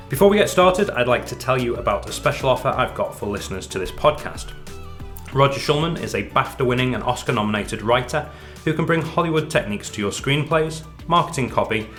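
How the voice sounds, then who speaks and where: A man's voice: medium pitch, fairly bright tone. A man hosts a podcast with an upbeat, polished delivery. Faint music sits under his voice. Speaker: podcast host. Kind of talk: host interview and ad read.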